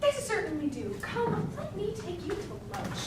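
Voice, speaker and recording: A woman's voice: high voice, expressive, normal speed. A woman playing a scheming, flamboyant male theatre producer in an amateur stage comedy, grandiose, bullying and cajoling. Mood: smooth, coaxing. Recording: distant echoey room recording with clear noise.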